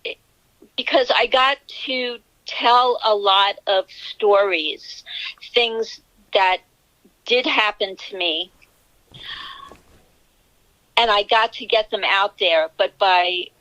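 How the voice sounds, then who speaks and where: A woman in her sixties with a New York City accent, a novelist answering interview questions in a measured, candid way. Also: medium pitch, pushed and bright, dry recording, poor audio. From Bronx, New York City. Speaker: novelist guest.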